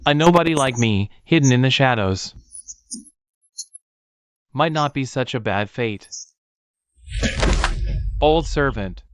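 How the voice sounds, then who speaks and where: A man playing a grave, humble and deferential sect follower in a dubbed animated comic. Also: mid-range voice, slow, pauses often, clean tone, no room sound. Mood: humble, resigned.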